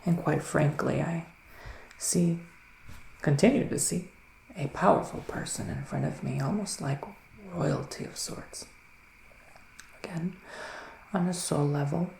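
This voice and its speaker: A man speaking in a hushed, half-whispered voice with pauses between phrases, playing a soothing, mystical forest witch in an ASMR tarot reading. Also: a little high, steady tone, creaky. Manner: unhurried, pausing between short phrases. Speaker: ASMR roleplay performer. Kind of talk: ASMR psychic reading roleplay. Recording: roomy echo, faint background noise.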